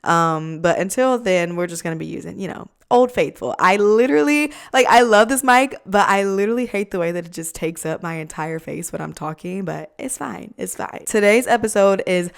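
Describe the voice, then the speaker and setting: A woman's voice: mid-range voice, lively intonation, moderate pace, creaky, hardly any pauses, clear, resonant tone. Congested, nasal young woman podcaster. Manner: chatty, lots of fillers and "like". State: congested and losing her voice from a cold. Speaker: podcast host. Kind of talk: casual chat. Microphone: USB desk microphone up close.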